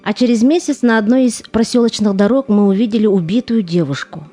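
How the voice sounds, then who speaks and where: A woman narrating a scripted documentary drama in a solemn, measured manner. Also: mid-range voice, mellow, faint music behind.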